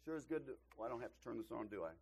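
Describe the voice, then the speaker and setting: A middle-aged man, slightly hoarse from losing his voice, giving a sermon as a visiting preacher in a church, opening with self-deprecating jokes before building to emphatic rises. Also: medium pitch, racing, a little mellow, slight background noise. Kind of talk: sermon with folksy humor. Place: church auditorium.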